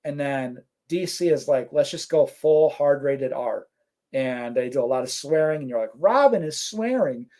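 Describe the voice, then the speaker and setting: Male co-host, mid-pitched, easygoing, reads chat aloud, filler-heavy. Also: moderate pace. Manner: relaxed, rambling, many fillers. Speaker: co-host reading live chat. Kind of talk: casual live-stream chat.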